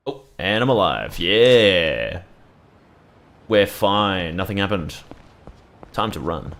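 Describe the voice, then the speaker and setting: A man's voice: sits low, lively intonation, slow pace, a bit bright. A man giving casual, rambling gameplay commentary as a video creator, irreverent and often swearing.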